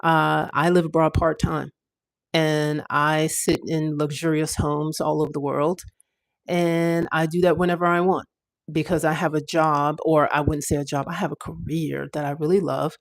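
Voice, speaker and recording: A woman in her fifties hosts a casual livestream, speaking in a slightly low voice. Her talk is chatty and rambling, full of fillers and restarts. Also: flat delivery, normal speed, gravelly. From United States. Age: 50s. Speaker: livestream host.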